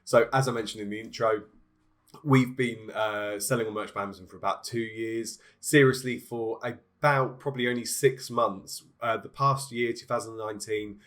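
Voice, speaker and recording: A man's voice: lowish voice, steady tone, moderate pace, a bit bright. Man with a cold, nasal voice. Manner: hesitant, many fillers and restarts. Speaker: online business tutorial host. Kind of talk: casual screen-share tutorial.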